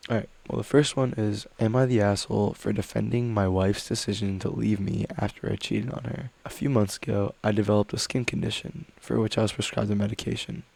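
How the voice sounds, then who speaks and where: A man with a low, gravelly voice reads online stories aloud, a little under the weather. He rambles and hesitates with lots of fillers between thoughts. The sound is dry and close. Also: steady tone, fairly quick, few pauses, darkish tone, dry recording. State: a little sick. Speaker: reddit story reader. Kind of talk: casual reading with commentary.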